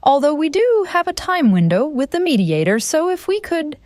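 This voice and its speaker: Woman voicing a smooth, hurrying male attorney. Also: sits high, big pitch swings, moderate pace, darkish tone, no room sound, studio quality, voice pushed up. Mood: politely pressing.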